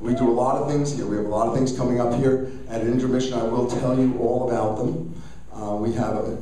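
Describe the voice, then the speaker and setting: A man's voice: medium pitch, dark tone. A man hosting a live radio theater show, chatting informally to the audience as he makes stage announcements. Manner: chatty, folksy address to audience. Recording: echoey hall, distant mic, faint noise.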